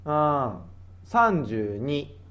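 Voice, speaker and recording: A man with a fairly high voice and very varied intonation. He talks casually as a comedy radio co-host, playfully spinning out silly ideas in a conversational back-and-forth. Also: sing-song, drawn-out words, a bit bright. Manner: playful, pitches absurd ideas. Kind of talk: casual comic brainstorming.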